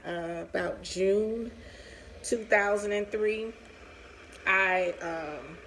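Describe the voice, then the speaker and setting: A woman's voice: lowish voice, gravelly, slight background noise, poor audio. A woman in her forties sharing a personal story in a candid, casual, conversational way, as in a vlog. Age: 40s. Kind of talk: casual personal storytelling while getting ready.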